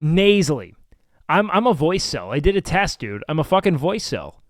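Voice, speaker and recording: Nasal dude streaming from home, high voice jumping all over, dry close mic. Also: male voice, moderate pace, no room sound. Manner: loud, excitable, swears a lot. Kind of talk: casual live-stream chat reacting to clips. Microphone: studio mic with noise gate.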